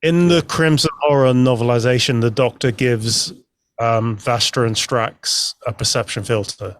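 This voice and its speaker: A man's voice: mid-range voice, fairly even intonation, measured pace, no room sound. A man talking casually as a panellist on a podcast. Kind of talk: casual chat.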